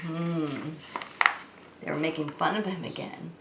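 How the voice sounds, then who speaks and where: A woman preschool teacher leading story time, talking to young children in an animated, sing-song way with questions she answers herself. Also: sits low, measured pace, gravelly, noisy background. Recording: roomy, echoing indoor sound.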